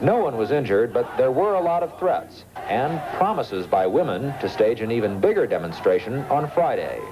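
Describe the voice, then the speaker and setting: A man's voice: medium pitch, very animated, moderate pace, a crowd in the background, noisy background. An American man narrating a scripted news report as a foreign correspondent.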